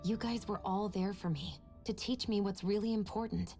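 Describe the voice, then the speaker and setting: An actress voicing a reserved, composed young woman in a video game cutscene, speaking in a measured way. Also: mid-range voice, steady tone, warm tone, faint music behind, close to an everyday voice.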